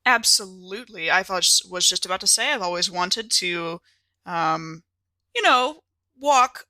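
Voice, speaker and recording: A woman's voice: fairly low pitch, expressive, measured pace, sharp tone, dry recording. A woman playing a theatrical, genteel, playful lady in a tabletop game, dramatic and wordy. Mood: delighted, dramatic.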